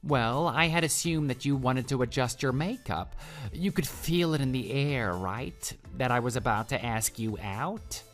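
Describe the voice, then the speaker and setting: A man acts out a clingy male office coworker in a radio drama, smitten and syrupy. He speaks at a middling pitch in flowery, gushing phrases and stammers when flustered, with music playing underneath. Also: unhurried, a bit bright, natural voice. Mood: let down. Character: clingy, lovestruck male office coworker who can't take hints. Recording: voice over background music.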